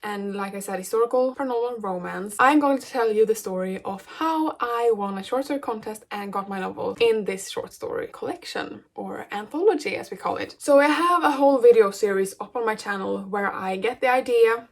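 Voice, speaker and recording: A woman's voice: mid-range voice, a little fast, hardly any pauses. A young adult woman whose first language is Swedish, talking earnestly in a casual vlog about her writing. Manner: chatty and earnest, thinks aloud. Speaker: book vlogger.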